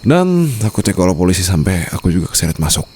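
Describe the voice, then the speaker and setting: A man's voice: low voice, big pitch swings, fast, dark tone, a little hiss or hum. A man with an Indonesian accent playing a doting, possessive mafia boss husband in an intimate, teasing ASMR roleplay. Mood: possessive, coaxing. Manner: teasing, coaxing, murmured endearments with English phrases mixed in.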